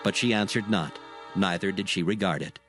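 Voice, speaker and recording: A man's voice: sits low, fairly bright tone. Solemn male scripture narrator over music. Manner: solemn, measured storytelling. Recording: background music under the narration.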